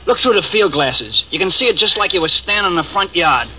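An actor playing a tough gangster henchman with rough, streetwise slang in an old radio drama. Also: high voice, animated, brisk, sharp tone, heavily acted. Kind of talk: 1940s radio acting. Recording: dull old radio recording with faint hiss.

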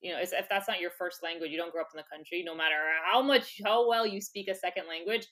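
Low-voiced woman, relaxed chatty interview guest who laughs easily. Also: fast. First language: English. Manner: chatty, laughs often, many "like" fillers. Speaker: interview guest, former soccer player. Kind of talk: casual conversational interview.

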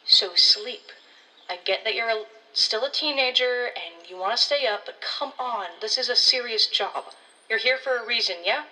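A woman's voice: on the high side, normal speed, bright, forceful tone, recorded from a distance, poor audio. A woman playing a blunt, condescending ranger colleague in an audio drama, talking in a world-weary, slangy way. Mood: concerned, lecturing.

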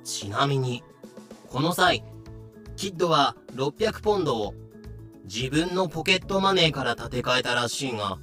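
A man's voice: mid-range voice, animated, normal speed, bright, forceful tone, soft background music. A man reading scripted comedic narration for a voice drama, explaining things with dry, sarcastic asides.

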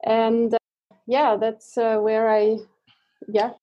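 A woman's voice: fairly high pitch, little pitch movement, takes it slowly, lo-fi sound. A woman in her thirties from Switzerland with a Swiss accent, a guest in a casual interview who speaks hesitantly while searching for English words.

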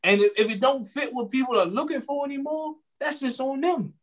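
A man's voice: very high voice, steady tone, fairly quick. A man in his late twenties talking casually on a podcast, rambling and slangy, often repeating his words. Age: 20s. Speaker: podcast co-host.

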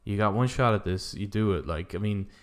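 A man's voice: on the low side, brisk. A man co-hosting a podcast, rambling and thinking aloud in casual, opinionated chat, with some casual swearing. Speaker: podcast co-host. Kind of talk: casual opinionated chat about films and games.

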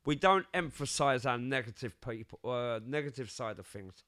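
A man's voice: medium pitch, normal speed, no echo, clean studio sound. A man in his fifties with a London accent, chatting casually as a podcast co-host and repeating words as he thinks. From England. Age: 50s. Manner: animated, repeats words while thinking, swings loud and soft. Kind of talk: casual chat.

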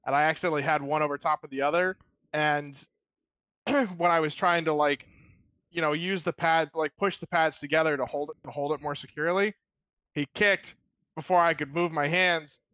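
A man's voice: on the high side, fairly even intonation, moderate pace, bright, forceful tone, no room sound. Laughing, rambling man in a dry room. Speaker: podcast co-host. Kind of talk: casual chat.

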